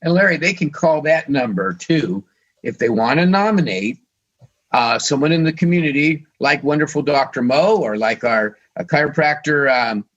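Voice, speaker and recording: A man's voice: on the high side, lively intonation, moderate pace, a bit bright. A man co-hosting a radio talk show, losing his voice and talking hesitantly with fillers. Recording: dull lo-fi sound.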